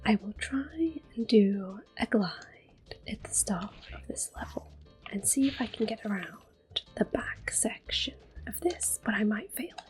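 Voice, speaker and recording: Female ASMR gameplay host: soft-spoken to whispered, unhurried delivery, medium pitch. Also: expressive. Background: game music.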